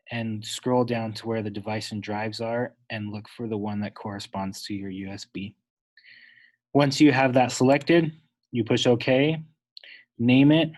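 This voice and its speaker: A man giving an unscripted, instructional step-by-step software tutorial, talking wordily and correcting himself as he goes. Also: mid-range voice. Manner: instructional, wordy, with self-corrections.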